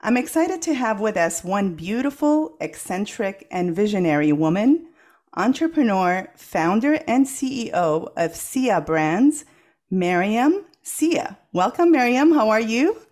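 Casting: woman interview host, warm-up questions, effusive and upbeat, mid pitch. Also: very animated, unhurried. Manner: effusive, enthusiastic. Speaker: podcast host. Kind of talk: interview hosting.

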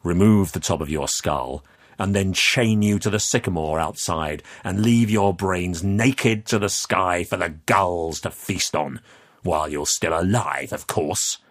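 A man voicing a commanding, menacing older aristocrat, crisp and condescending, with a gravelly edge, in an audiobook story. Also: low-pitched, measured pace. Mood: cold menace.

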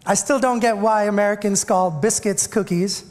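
A man's voice: sits high, normal speed, darkish tone, long reverb tail. A man from India with an Indian English accent, a pastor giving a chatty, joking sermon to his congregation. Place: church sanctuary.

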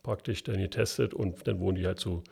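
Berliner guest, male, gravelly husky voice, talks plainly with restarts. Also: low voice, fairly even intonation, brisk, dark tone. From East Berlin, Germany. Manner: plainspoken, frequent restarts and fillers. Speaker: works council chair, guest. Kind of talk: casual interview talk.

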